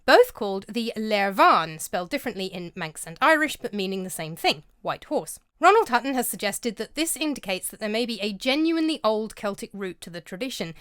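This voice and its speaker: Female vlog narrator, scripted and scholarly, bright lilting delivery, bone-dry studio read. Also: medium pitch, sing-song, fairly quick, few pauses, smooth, dry recording. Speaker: vlogger and narrator. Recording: dry close-mic voice-over.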